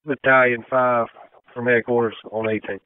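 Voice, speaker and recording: A man's voice: mid-range voice, level tone, moderate pace, smooth, dry recording. A man working as a fire dispatcher, relaying information to units over the emergency radio. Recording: dry, narrowband radio channel.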